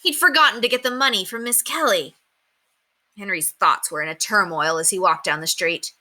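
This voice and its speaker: A woman reading a children's book aloud in an expressive storytelling style, acting out the dialogue in an animated way. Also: mid-range voice, normal speed. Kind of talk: expressive read-aloud narration.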